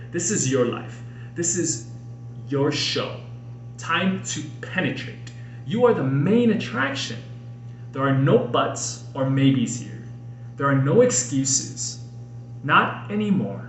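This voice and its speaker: Guy reading his self help book really slow n dramatic, roomy echo, motivational vibe. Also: medium pitch, far from the mic. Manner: emphatic, dramatic delivery with pauses. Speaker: author and podcast host. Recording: echoey room with faint noise.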